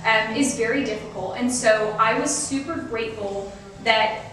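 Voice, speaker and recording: A woman's voice: a little high, normal speed, recorded from a distance, background noise. A young woman from Texas, a real estate agent and guest, talking in an upbeat, chatty way in a casual interview. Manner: upbeat, talkative, laughs often. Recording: echoey room, voice off-mic.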